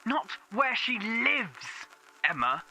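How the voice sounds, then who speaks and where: A man's voice: sits high, very animated, slow pace, vocal fry, sharp tone, exaggerated voice. A man playing a bitter, accusing divorced father in an audio drama, his voice run through a phone filter. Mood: jealous, angry.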